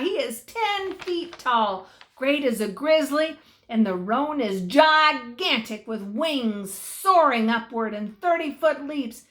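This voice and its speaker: Older woman reading a story, slow and expressive. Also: sits high. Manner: expressive, savours repeated phrases. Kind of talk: dramatic reading of a folksy Christmas story.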